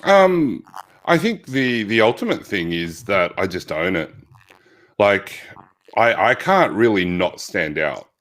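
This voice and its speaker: Loud-voiced Australian man, lowish pitch. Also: sing-song, slow. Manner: loud, reflective storyteller with many restarts. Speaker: physical therapist guest. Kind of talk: conversational interview.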